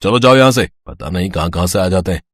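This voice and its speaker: A man's voice: deep voice, lively intonation, quick pace, a bit bright, no echo. A man playing an arrogant, heartless rival restaurant owner in a moral story, taunting and dismissive. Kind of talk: dramatised moral story acting.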